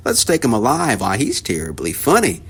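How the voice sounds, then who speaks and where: A man voicing a group of excited, greedy male hunters in a storybook read-aloud. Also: medium pitch, moderate pace, husky, full character voice. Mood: amazed.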